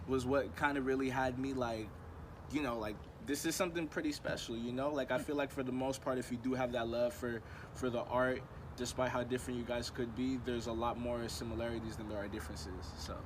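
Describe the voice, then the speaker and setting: A man's voice: medium pitch, little pitch movement, brisk. A young man in his twenties, a rapper from the United States, talking reflectively in a casual interview with lots of ums and you-knows. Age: 20s. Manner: laid-back, reflective, many fillers like um and you know. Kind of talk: casual conversation. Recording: outdoor traffic noise.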